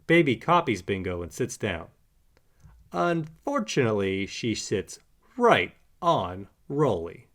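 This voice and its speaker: A man narrating a children's picture book in an animated read-aloud style. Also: fairly low pitch, slow pace. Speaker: storybook narrator.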